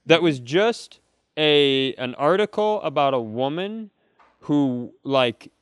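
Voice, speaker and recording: A man's voice: on the high side, lively intonation, slow pace, clean tone, no room sound, studio quality. A man co-hosting a comedy podcast, telling stories casually in a joking, profane, rambling way. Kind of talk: casual comedic storytelling chat.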